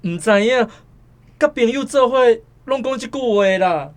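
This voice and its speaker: A man's voice: extremely high pitch, lively intonation, normal speed. An actor playing a young working-class man who drinks alone and answers naively and evasively, in a radio drama. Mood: nervous, evasive. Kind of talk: Taiwanese radio drama acting.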